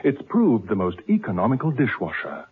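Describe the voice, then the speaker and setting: A man announcing a vintage radio advertisement with an upbeat sales pitch. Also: big pitch swings, brisk, slightly rough. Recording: tinny old radio broadcast.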